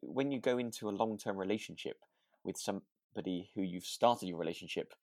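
A man with a low voice co-hosts a casual recap podcast, recorded dry and close. He chats loosely and hesitantly, often searching for the right words. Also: fairly quick, darkish tone, no room sound. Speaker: podcast co-host. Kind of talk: casual chat recapping a radio drama.

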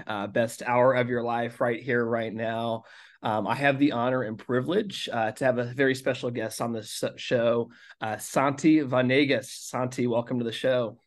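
A young man hosting a podcast, eagerly welcoming listeners and repeating excited, upbeat phrases as he introduces and questions his guest. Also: mid-range voice, no room sound. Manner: enthusiastic, repetitive hype phrases. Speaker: podcast host.

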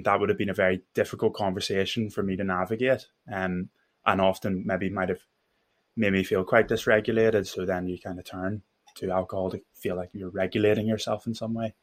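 A man with a Belfast accent speaks as an interview guest in a low voice. His intonation stays very even. He is reflective, feeling his way through each thought with some hesitation. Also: fairly quick. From Northern Ireland. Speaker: podcast guest, mental health first aid instructor.

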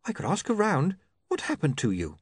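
A man's voice: fairly high pitch, huge pitch swings, normal speed, husky, no room sound, voice pushed up, heavily acted. A man voicing a nervous, prim young woman in an office, polite and a little hesitant. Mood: polite, curious.

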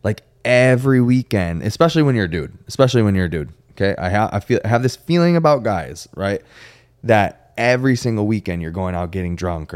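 A man with a low voice hosts a casual podcast chat. He talks informally and with plenty of slang, putting his opinions out plainly. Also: a little mellow, clean tone. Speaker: podcast host.

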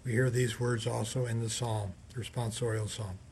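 A man reading a scripted scripture reflection as a priest, measured and deliberate, with emphatic pauses. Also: mid-range voice, steady tone, vocal fry, darkish tone, a little hiss or hum. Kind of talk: scripted sermon reflection read aloud.

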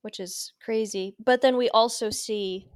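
A woman co-hosting a casual faith podcast, earnest and reflective, her voice a little hoarse and worn. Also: fairly high pitch, fairly even intonation, unhurried, dry recording, clean studio sound. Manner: earnest, reflective, with frequent restarts and laughs. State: losing her voice, slightly hoarse. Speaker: podcast co-host.

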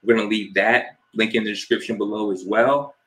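A young man from New Jersey co-hosting a casual podcast, relaxed and easygoing as he gives shout-outs and asks questions. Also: fairly low pitch, fairly quick, rough recording. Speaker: podcast co-host.

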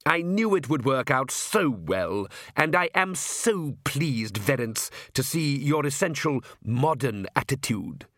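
A man voicing a smug male vampire count, suave and genially measured, in an audiobook. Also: mid-range voice, very animated, fairly bright tone, dry recording, clean studio sound, heavily acted. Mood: genial menace.

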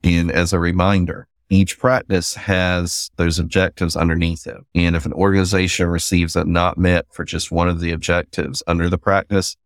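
Deep-voiced Southern man explaining steps. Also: level tone, moderate pace, few pauses, no echo, clean studio sound. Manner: methodical, plainspoken, folksy asides. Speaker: guest, cybersecurity assessor. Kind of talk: explanatory interview talk.

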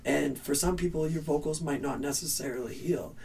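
A raspy-voiced man, a band's vocalist, rambling earnestly through interview advice with restarts. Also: on the high side, brisk, darkish tone, recorded from a distance, a little hiss or hum. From Canada. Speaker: band vocalist. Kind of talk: casual interview answers.

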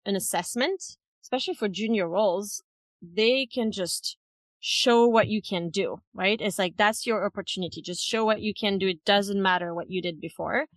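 A woman's voice: medium pitch, moderate pace, no room sound. Interview guest: woman, mid-range voice, thoughtful and explanatory, conversational delivery. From France. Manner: thoughtful, explanatory, often checks with "right?" and "you know". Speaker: interview guest, head of people at a tech company.

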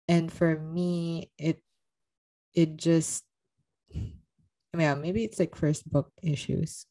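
A woman with a slightly low voice chats casually about books as a co-host. She is blunt and likes to tease, and laughter often slips into her speech. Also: mellow, rough recording. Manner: teasing, blunt; often laughs while talking. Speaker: book podcast co-host. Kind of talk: casual book discussion.

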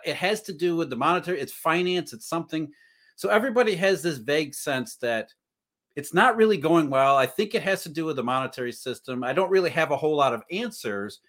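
Male guest, higher-pitched, earnest, talks in restarts while working ideas out. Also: a bit bright. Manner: earnest, thinking aloud with restarts. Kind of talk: casual podcast conversation.

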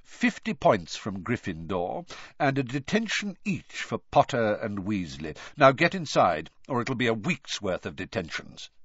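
A man's voice: fairly high pitch, huge pitch swings, unhurried, a bit bright, no room sound, muffled recording, pitched above the performer's own voice, full character voice. A man voicing a cold, spiteful male teacher in an audiobook, speaking curtly and with silky menace. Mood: sneering, threatening. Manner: curt, menacing, commanding. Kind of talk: audiobook character voice.

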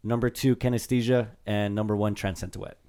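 A young man hosting a casual music podcast with a slightly hoarse voice. He talks in a laid-back, easygoing way, wrapping up with friendly thanks to listeners. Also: fairly low pitch, steady tone, fairly quick, warm tone. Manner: laid-back, laughs easily. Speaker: podcast host. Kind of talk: casual album review chat.

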